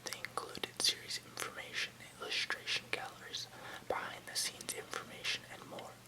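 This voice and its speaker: Hushed, fully whispered ASMR reader. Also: normal speed, rough recording. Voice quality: unvoiced, breathy whisper. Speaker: ASMR channel host. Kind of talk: whispered ASMR reading of an encyclopedia article with casual asides.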